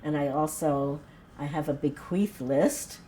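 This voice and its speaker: A woman's voice: low-pitched, unhurried, mellow, a little hiss or hum. An elderly woman, a retired nurse talking frankly and thoughtfully at her home in a documentary interview. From Brooklyn, New York. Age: 80s. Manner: frank, plain-spoken and reflective. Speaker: retired nurse and death cafe organizer.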